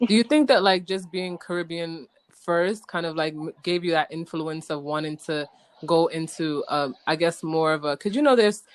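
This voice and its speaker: A woman hosting a podcast, talking in a bubbly, slangy, excitable way as she chats casually with a guest. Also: low-pitched, moderate pace. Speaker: podcast host. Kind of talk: casual interview chat.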